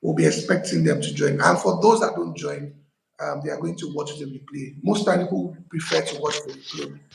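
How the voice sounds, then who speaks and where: A man hosts a casual live stream, his voice rough and gravelly and swinging lively up and down. He talks in a playful, hyping way, and his voice rings in an echoey room. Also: a little high, a little fast. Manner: animated, playful hype.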